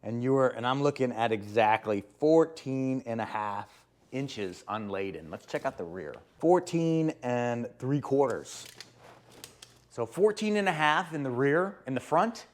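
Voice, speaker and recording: A man with a hoarse, sore-throat voice, casually explaining car repair steps as host of his own channel. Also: mid-range voice, lively intonation, unhurried. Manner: chatty, rambling, explains step by step. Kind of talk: casual DIY car tutorial.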